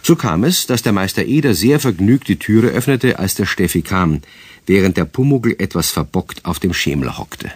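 A man's voice: sits low, lively intonation, normal speed, husky, no room sound. A man narrating a scripted German children's story as the narrator of a radio play.

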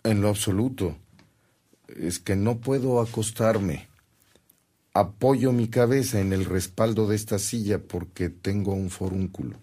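A man playing a devout, gentle missionary priest in a radio drama, with a solemn, measured delivery. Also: lowish voice, breathy edge. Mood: humble, earnest. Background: background music.

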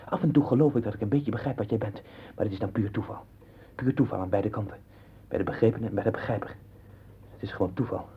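An actor playing a troubled, obsessively questioning man with a husky, breathy voice in a 1960s radio play. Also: lowish voice, quick pace, slight background noise, close to an everyday voice. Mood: intense, insistent. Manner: insistent, probing questions and fevered monologue. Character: troubled, searching man sinking into obsessive doubt. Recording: dull 1960s radio recording.

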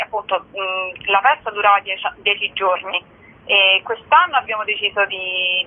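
A woman giving an informal interview as a festival organiser, speaking as a guest. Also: medium pitch. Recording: tinny, narrow phone line.

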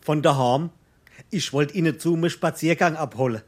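A male actor plays a good-natured young fellow in a comic stage play. His voice sits a little high, and he speaks naively in Hessian dialect. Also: moderate pace, lightly acted. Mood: earnest, friendly. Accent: Hessian, Darmstadt dialect. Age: young adult.

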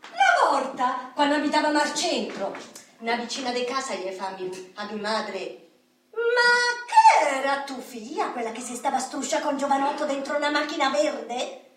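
Feisty high-voiced Roman woman, echoey hall. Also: very animated, normal speed, a bit bright. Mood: animated, wry. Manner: vivid, colloquial storytelling, acting out quoted people. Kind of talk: theatrical monologue in a radio play. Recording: echoey hall, distant mic, clicks.

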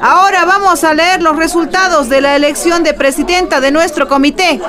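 A woman playing a brisk, commanding women's committee leader in a radio soap, with a Peruvian Andean accent. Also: very high voice. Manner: loud, commanding, mixing Quechua and Spanish. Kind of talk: radio soap opera acting. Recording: crowd chatter behind.

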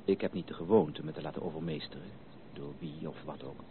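An actor playing a principled, reserved gentleman suitor in a Dutch radio drama, speaking in a measured, formal and courteous way. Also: a bass voice, gravelly. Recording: narrow tinny old radio recording, faint hiss.

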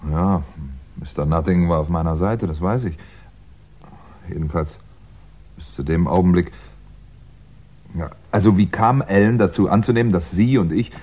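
A hunted male crime novelist in a vintage German radio thriller. The voice is low and dark with a slightly husky grain, measured and wry. The recording is dull, like old mid-sixties radio. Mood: uneasy. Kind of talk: 1960s German radio crime play acting.

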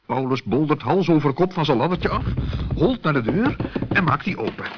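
Male children's narrator: husky, lively melodic delivery, medium pitch, slightly muffled vintage radio sound. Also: moderate pace. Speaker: storyteller. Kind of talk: expressive scripted children's storytelling. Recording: dull old radio recording.

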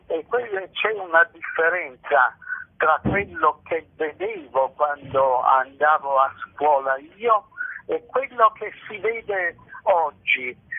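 An older man speaks slowly and reflectively over a thin telephone line. His voice is gravelly, and he often halts and repeats phrases for emphasis as he gives interview answers. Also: high-pitched. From Italy. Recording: tinny band-limited phone line.

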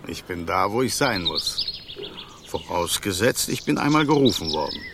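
An actor playing a prim, pedantic golden snake in a radio play, wary and full of advice. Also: male voice, deep voice, big pitch swings, moderate pace, noisy background. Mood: secretive, warning. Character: fussy, wary enchanted golden snake, loyal adviser. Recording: clear birdsong and clicks behind. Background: chirping birds in a park.

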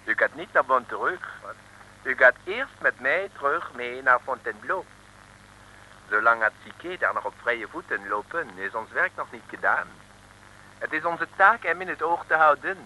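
An actor playing a courteous, excitable French police inspector with a French accent, formal and emphatic, in an old radio serial. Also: male voice, mid-range voice, normal speed, a little hiss or hum, rough recording. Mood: insistent. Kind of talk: 1950s-60s radio serial acting.

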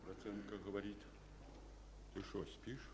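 An actor playing a gruff Soviet general in a wartime radio drama, husky, giving terse orders in short, weighty phrases. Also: male voice, fairly low pitch, level tone, unhurried, a little mellow. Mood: hushed, insistent. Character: General Protsenko, gruff, hushed, commanding Soviet officer.

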